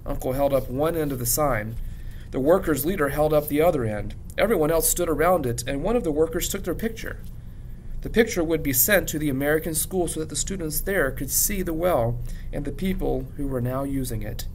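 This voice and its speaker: A man reading a children's novel aloud like a teacher narrating to students, with low rumble behind him. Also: brisk, rough recording. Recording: clear background rumble noise.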